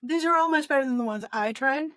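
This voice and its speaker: A woman's voice: high voice, quick pace, a bit bright, dry recording. Dry-sounding woman in casual podcast chat. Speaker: podcast co-host. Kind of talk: casual book discussion chat.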